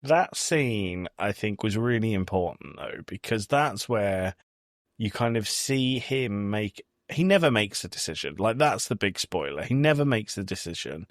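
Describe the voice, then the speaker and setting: Male podcast co-host, lower-pitched voice, casual film discussion, very dry recording. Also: expressive, unhurried, dry recording.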